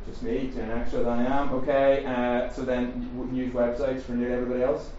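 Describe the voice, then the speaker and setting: A middle-aged man with a Northern Irish accent giving a jokey, fast-paced talk to a live audience, full of ums and questions to the room. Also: medium pitch, flat delivery, warm tone. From Northern Ireland. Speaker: speaker at a faith and culture seminar. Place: large echoey room with an audience. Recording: roomy, distant, dull sound with faint hiss.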